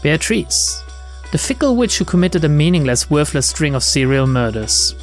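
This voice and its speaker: A man's voice: a little high, big pitch swings, normal speed. A man hosting a game playthrough, reading the text aloud and adding casual commentary. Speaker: let's play host. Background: game music.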